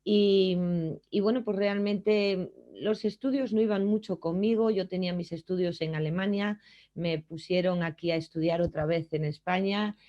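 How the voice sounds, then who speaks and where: A middle-aged woman from Germany telling her personal work story in an earnest, reflective way, often pausing to hesitate. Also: on the low side, dark tone, highs cut off. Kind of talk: personal testimony.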